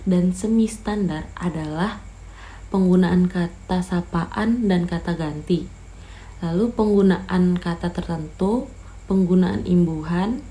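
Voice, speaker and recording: A young woman reads her class presentation at an unhurried pace, ticking through a numbered list. Her voice sits low and sounds mellow. A faint hiss of background noise runs under it. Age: young adult. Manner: steady reading of a numbered list. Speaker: student presenter.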